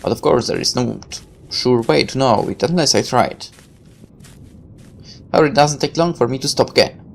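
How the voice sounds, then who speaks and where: Female let's player, very low register with animated intonation, narrating a visual novel with sardonic offhand commentary. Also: moderate pace, creaky, slight background noise. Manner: irreverent, sarcastic asides. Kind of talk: game playthrough, reading text aloud with offhand commentary.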